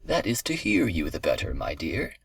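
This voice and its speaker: A man's voice: very deep voice, wildly swooping pitch, normal speed, vocal fry, slightly rough, no echo, voice pushed down, heavily acted. A man voicing a wicked, wily great wolf in a storybook tale, speaking with sly, false politeness. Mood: menacing.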